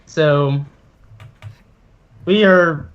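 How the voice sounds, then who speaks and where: A man's voice: on the high side, drawn-out words, muffled recording. A man acting as game master, casually narrating and chatting with players at a tabletop game.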